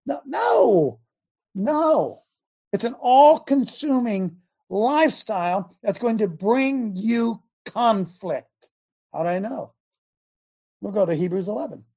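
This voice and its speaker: Raspy coach-type guy with a lingering cough, mellow kinda dark tone, rambles then preaches hard. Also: high voice, lively intonation, takes it slowly. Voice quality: raspy, scratchy voice. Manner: rambling, fervent, emphatic. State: lingering cough, chronic laryngitis. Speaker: talk show host. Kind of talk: fiery preaching talk radio.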